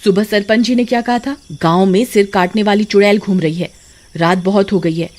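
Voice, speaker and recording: A woman's voice: medium pitch, fairly even intonation, fairly quick, a little mellow, lightly acted. A woman voicing an exasperated village wife who scolds her drunkard husband in a cartoon. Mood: scolding. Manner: nagging, exasperated.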